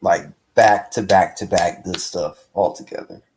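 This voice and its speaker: A man's voice: deep voice, measured pace, darkish tone, a little hiss or hum. A man co-hosting a podcast in casual chat. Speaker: podcast co-host.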